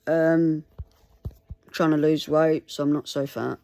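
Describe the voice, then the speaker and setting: Slow, gravelly woman vlogger. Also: low voice, fairly even intonation, warm tone, dry recording. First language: Mandarin. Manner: earnest, pleading, halting. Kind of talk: casual talk to camera.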